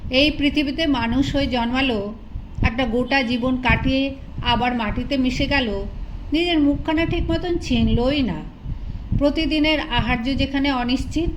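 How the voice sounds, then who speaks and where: A woman reads a literary story aloud. She works as an audiobook narrator, telling the tale in prose. The recording carries clear noise behind her. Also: high voice.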